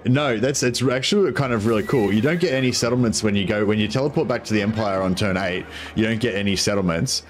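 Croaky deep-voiced streamer with headset. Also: male voice, fast, hardly any pauses, a little hiss or hum. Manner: rambling, many ums and restarts. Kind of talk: casual gameplay commentary.

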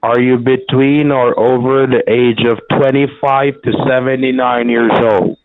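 A man on a phone call delivering a formal, scripted prize pitch in an overly reassuring manner. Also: level tone, unhurried, dry recording. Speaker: phone scammer. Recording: tinny phone line.

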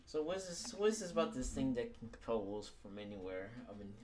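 A man's voice: medium pitch, very animated, fast, darkish tone. A young man chatting casually in an improvised roleplay, teasing and often repeating his phrases. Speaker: player in a Minecraft roleplay series.

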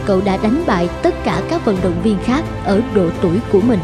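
A woman's voice: mid-range voice, very animated, brisk, music in the background. A woman reading scripted story narration as a narrator.

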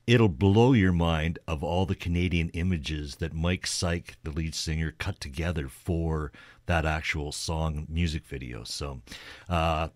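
Male radio co-host, deep voice, dry close sound, informal music talk with some hesitancy. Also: a little slow, dry recording. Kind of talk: casual music chat.

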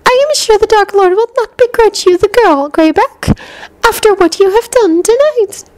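A woman voicing a cruel, gloating dark witch in a high, shrieking, taunting voice for a storybook reading. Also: moderate pace, no room sound. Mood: mocking, dismissive. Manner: dismissive, taunting.

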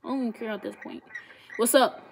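A woman's voice: a little high, steady tone, quick pace, vocal fry, slight background noise. Teasing young woman on video chat. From Ohio. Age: 20s. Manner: blunt, teasing, slangy. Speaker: streamer chatting with strangers on video calls. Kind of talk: casual chat. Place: small bedroom indoors.

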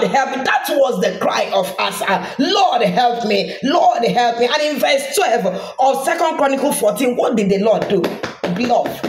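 A preacher delivering a fervent, declamatory charismatic prayer sermon, repeating phrases and breaking into speaking in tongues. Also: mid-range voice. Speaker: preacher leading an online prayer broadcast. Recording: echoey room sound.

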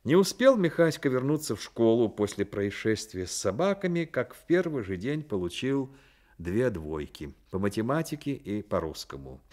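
An actor playing the storyteller of a radio play, narrating in a literary style. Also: male voice, fairly low pitch, darkish tone. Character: storyteller narrating a boy's life.

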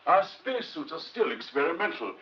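An actor playing a cautious, responsible professor in a 1950s film drama, measured, formal and firm. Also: male voice, on the high side, sing-song. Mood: concerned, firm. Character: cautious, responsible male professor heading rocket project. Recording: muffled 1950s film soundtrack.

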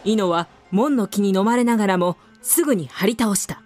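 A woman's voice: mid-range voice, fairly quick, over loud music. A woman giving scripted narration for a radio drama as its narrator.

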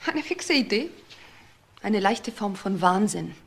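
A woman's voice: medium pitch, lively intonation, slow, creaky. A woman playing a cool, bitter divorced wife in a crime radio drama, composed, detached and curt.

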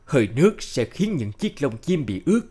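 A man playing an earnest middle-aged craftsman father in a cartoon, speaking in a measured, careful way. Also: medium pitch, very animated, dark tone, husky. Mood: cautioning. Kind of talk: cartoon dub acting.

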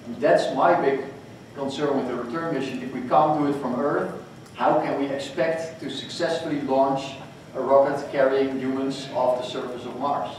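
A man speaking on a conference panel, halting with frequent restarts. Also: medium pitch, steady tone, a little mellow, a little hiss or hum. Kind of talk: panel discussion. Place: hotel conference room, panel table. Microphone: table mic, recorded from far off. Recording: echoey hall recorded from far off.

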